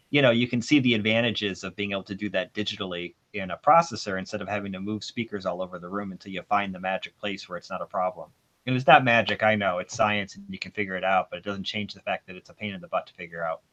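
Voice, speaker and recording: A man's voice: fairly low pitch, a bit bright. A man explaining technical matters informally as an audio expert on a panel, giving his opinions in a discussion. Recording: dull, band-limited sound.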